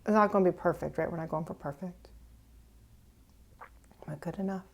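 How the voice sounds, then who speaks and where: A woman meditation teacher leading a guided meditation gently, with pauses, often trailing off into a breathy near-whisper. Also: fairly low pitch. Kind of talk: guided meditation, unhurried and instructive.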